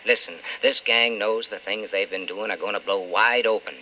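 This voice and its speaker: A wistful, principled lover, low bright voice. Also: male voice, fairly even intonation, normal speed. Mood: earnest, urgent. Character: principled, wistful man in love with a friend's wife. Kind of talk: old-time radio drama acting. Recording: muffled old radio broadcast with hiss.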